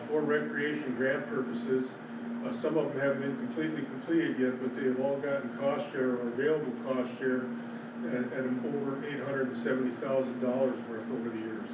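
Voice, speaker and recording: A man with an Upper Midwestern American accent giving a plain presentation at a public hearing, with some fillers. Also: medium pitch. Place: large public meeting room. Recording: roomy hall, far from mic, loud noise.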